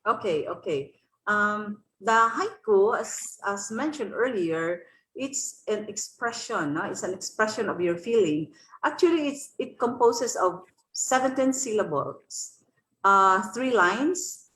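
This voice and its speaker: A woman with a Filipino accent explaining to an online class as a teacher, pausing often to search for words. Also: medium pitch, expressive, a bit bright. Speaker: resource speaker, teacher. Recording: roomy, echoing room sound.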